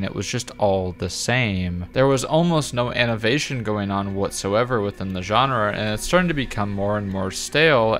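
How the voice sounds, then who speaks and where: A man's voice: medium pitch, fairly bright tone. A man giving opinionated, scripted video-essay commentary, stressing points by repeating words emphatically. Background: clear background music.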